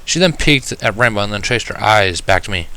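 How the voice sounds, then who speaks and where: A man's voice: lowish voice, lively intonation, brisk, pushed and bright, smooth, low-quality recording. A man reading scripted first-person fan fiction as the narrator.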